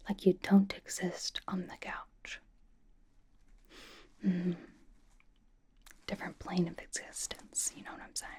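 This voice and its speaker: A woman's voice: fairly low pitch, mellow, lightly acted. A woman playing a blunt, doting young pop idol in a whispered ASMR roleplay, with a casual, dry, slangy delivery.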